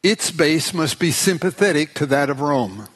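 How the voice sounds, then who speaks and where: A man preaching a sermon in short phrases, pausing for his interpreter. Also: a little high, animated, slightly rough. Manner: slow, deliberate, pausing for interpreter.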